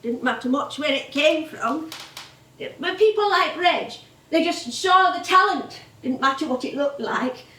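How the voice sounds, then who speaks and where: An actress playing an older music hall performer on stage, chatty and hesitant, eager and a little wistful. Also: female voice, sits high, animated, unhurried, bright, forceful tone, full character voice. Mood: chatty, fond. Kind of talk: theatre acting with music hall singing. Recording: roomy theatre stage, distant microphone.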